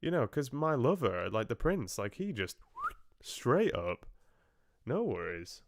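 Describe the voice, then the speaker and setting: A man's voice: medium pitch, big pitch swings, slow pace, dry recording, studio quality. A man hosting a live book club, chatting casually in a rambling, jokey way about stories. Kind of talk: casual chat, musing on fairy tales with live chat.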